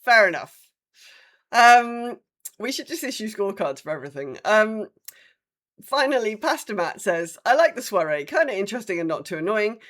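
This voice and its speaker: Woman, podcast co-host, medium pitch, informal, conversational, casual gaming chat. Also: sing-song, moderate pace, a bit bright.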